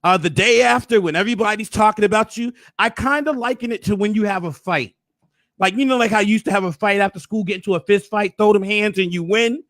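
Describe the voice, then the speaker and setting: A hoarse man hosting a casual recap, hyping things up with preacher-like flourishes. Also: high-pitched, fast, a bit bright, no echo. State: hoarse, losing his voice. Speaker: host.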